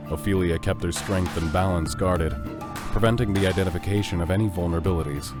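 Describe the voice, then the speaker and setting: A man narrating a scripted fantasy story as the narrator of an audio drama. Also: deep voice. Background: loud music.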